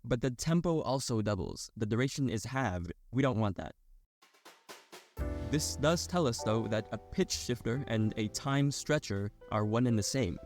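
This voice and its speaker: A young man narrates an educational explainer in a medium-pitched voice. His delivery is scripted technical narration. Music plays behind him. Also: expressive, moderate pace.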